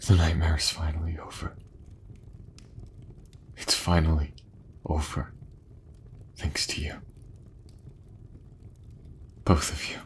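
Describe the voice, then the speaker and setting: A man's voice: extremely low pitch, level tone, unhurried, long pauses, in a whisper. An actor playing a remorseful, devoted king and father in a fantasy audio drama, speaking in a formal, earnest, emotional way. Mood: relieved.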